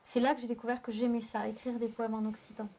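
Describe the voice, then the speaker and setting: Woman poet, young adult, southern French. Mellow, higher voice. Reflective, halting panel talk. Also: little pitch movement, a little hiss or hum. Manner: thoughtful, halting, with restarts. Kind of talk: round-table discussion. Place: live public venue.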